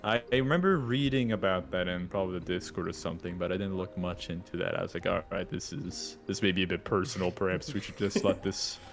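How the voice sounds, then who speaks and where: A man's voice: low-pitched, quick pace, creaky, few pauses, soft background music. A man in his twenties, a podcast guest, chatting casually and rambling with lots of filler words. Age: 20s. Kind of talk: casual chat.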